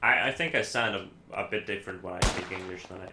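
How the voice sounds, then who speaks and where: A man from Iceland with an Icelandic accent, a native Icelandic speaker, chatting casually as a game streamer in a halting, rambling, self-correcting way. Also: low voice, sharp tone. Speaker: live streamer playing games. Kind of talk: casual chat while gaming. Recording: echoey room, faint background noise.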